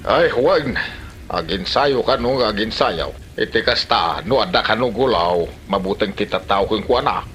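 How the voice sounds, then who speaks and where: Man acting a forceful grumbling old Ilocano villager. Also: lowish voice, lively intonation, normal speed, faint music behind. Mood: tattling. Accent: Ilocano Filipino. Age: older. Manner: exclaiming, grumbling, hesitant interjections. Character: gossipy, easily offended old Filipino village man. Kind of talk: Filipino comedy radio drama acting.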